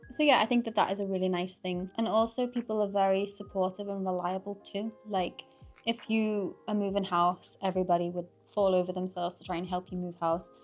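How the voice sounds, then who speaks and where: A young woman from Liverpool with a Liverpool accent, chatting casually to camera in a relaxed vlog, with lots of fillers and laughter. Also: medium pitch. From Liverpool, England. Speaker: vlogger.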